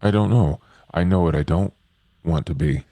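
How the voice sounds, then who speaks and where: A man playing a somber, wary, halting newborn vampire in a tabletop roleplaying game. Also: no echo. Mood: somber, uncertain. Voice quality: very deep, dark.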